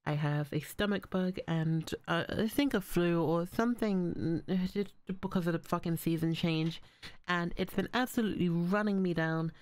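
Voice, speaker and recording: A woman's voice: lowish voice, a little mellow, clean tone, no room sound. A woman who makes audio roleplays, chatting casually in a rambling, swearing way while sick. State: sick with a cold. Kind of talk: casual outro chat.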